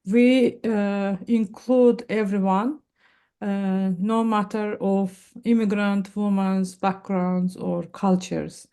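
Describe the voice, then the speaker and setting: A young woman explains her organization in a calm, measured way over a video call. Her voice is mellow and smooth, and she talks at an unhurried pace. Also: mid-range voice. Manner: measured, explanatory, with light laughs and fillers. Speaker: nonprofit founder, guest. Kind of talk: casual video-call interview. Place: Silicon Valley, indoors.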